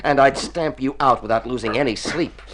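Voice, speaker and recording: An actor playing a young Texan outlaw in a radio drama, with a terse, menacing, resolute manner. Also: male voice, medium pitch, normal speed, crowd noise, close to an everyday voice. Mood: threatening. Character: gruff young Texan outlaw posing as a long-lost son.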